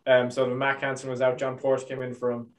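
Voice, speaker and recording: A man's voice: mid-range voice, completely flat delivery, fairly quick, recorded from a distance. A young man co-hosting a podcast, rambling with laughs and fillers in casual banter. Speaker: podcast co-host.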